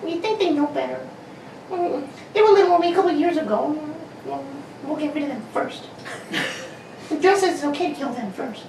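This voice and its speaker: A woman playing an eager, evasive little girl with childlike, stumbling excitability in an amateur radio drama. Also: high-pitched, moderate pace, gravelly. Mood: scornful. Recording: roomy, distant mic, faint noise.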